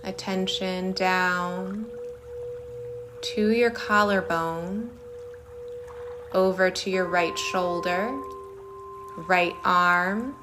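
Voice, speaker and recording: Female meditation guide; soothing, unhurried delivery at a very slow pace with long gaps, over faint music. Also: fairly low pitch, fairly even intonation. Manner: soothing, short phrases with long pauses. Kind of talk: guided meditation, body scan. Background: singing bowls.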